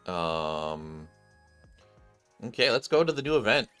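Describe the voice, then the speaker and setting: Animated, bright-voiced man streaming a game. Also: fairly low pitch, slow pace, clean tone. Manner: animated, thinking aloud. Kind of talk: casual gameplay commentary, reading game text aloud. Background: game music.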